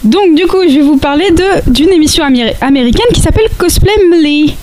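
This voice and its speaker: A woman's voice: high voice, fast, background noise. A woman giving a casual podcast segment on cosplay, talking with many hesitations and restarts.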